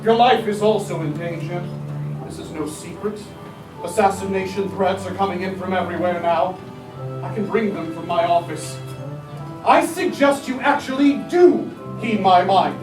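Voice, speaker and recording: A man's voice: high voice, moderate pace, natural voice. A man playing a stern, scornful, blunt war secretary in a theatrical staged reading of a musical. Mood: warning. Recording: echoey room, distant mic, music behind.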